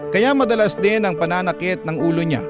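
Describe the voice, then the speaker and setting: A man hosting a radio program, reading a letter as dramatic, emotive narration with deliberate delivery. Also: sits high, loud music behind the voice. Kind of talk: dramatic scripted narration in classic radio style.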